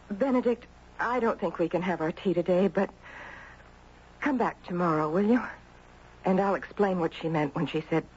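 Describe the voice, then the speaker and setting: A woman's voice: on the low side, darkish tone. Guarded mother in an old radio drama. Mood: apologetic, grave. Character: polite, protective, grieving mother of a young girl. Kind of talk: 1970s radio drama acting. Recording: muffled, noisy 1970s radio broadcast.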